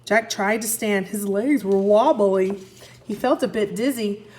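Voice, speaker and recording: A woman teacher reading a story aloud to young children, expressively, pausing to recap and ask the listeners questions. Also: medium pitch. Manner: expressive, asks listeners questions and answers for them. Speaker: first grade teacher.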